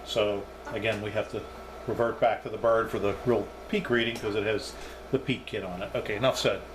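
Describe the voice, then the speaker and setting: A man's voice: lowish voice, fairly even intonation, a little fast, noisy background. A man giving a casual, unscripted hands-on demonstration as a hobbyist reviewer, thinking aloud as he goes.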